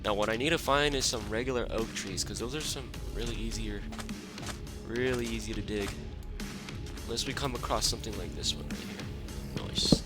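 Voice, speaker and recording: A young man with a raspy, stuffed-up voice from a cold, chatting casually as he plays a game. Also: lowish voice, moderate pace. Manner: rambling and relaxed, lots of fillers. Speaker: gaming channel host. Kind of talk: casual gameplay commentary. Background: background music.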